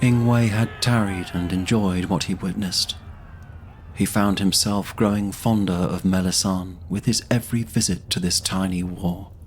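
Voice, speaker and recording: A man's voice: low-pitched, steady tone, measured pace, a little hiss or hum. A man narrating a scripted fantasy audio drama in a solemn storytelling manner.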